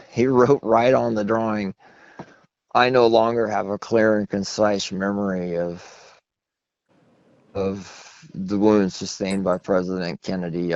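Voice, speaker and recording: Male interview guest, low voice, long-winded storyteller with a self-deprecating streak, dull dry phone audio. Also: a little mellow, no room sound. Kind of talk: rambling phone interview conversation. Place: phone call. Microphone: telephone. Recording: muffled low-fidelity phone line.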